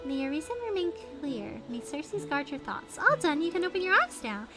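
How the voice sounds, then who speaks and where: A woman voicing a gentle, refined animal-loving scholar from a fantasy game, composed and formal. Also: sits high, big pitch swings, a little fast. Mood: kindly, composed. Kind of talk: reading game dialogue aloud. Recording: game music behind the voice.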